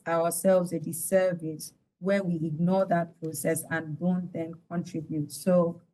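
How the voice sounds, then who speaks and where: A Nigerian woman giving explanatory interview commentary as an analyst, deliberate and pausing mid-sentence. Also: on the low side, level tone, mellow, poor audio. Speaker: studio guest analyst.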